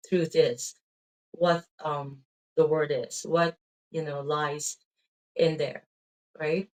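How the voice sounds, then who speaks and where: A woman's voice: deep voice, rough recording. A middle-aged woman with a Filipino accent gives a tired, rambling casual talk about her faith, full of "um"s and "right?" tags. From Philippines. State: tired, drained of energy.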